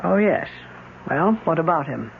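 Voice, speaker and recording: An actress playing a trusting, defensive widow who is easily flustered, in an old-time radio drama. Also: female voice, deep voice, animated, a little slow, gravelly, heavily acted. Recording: noisy, tinny vintage radio recording.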